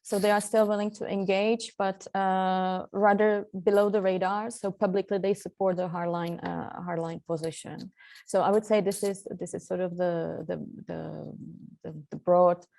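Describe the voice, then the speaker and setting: A woman's voice: lowish voice, level tone, moderate pace, creaky, dark tone. A woman from Central Europe speaking as a guest expert on a webinar panel over a video call, with many fillers and restarts.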